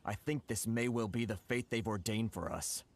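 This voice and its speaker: An actor playing a homesick, resigned, polite merchant in a video game. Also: male voice, on the low side, moderate pace, natural voice. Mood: weary, resigned. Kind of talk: video game character acting. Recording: game audio with faint noise.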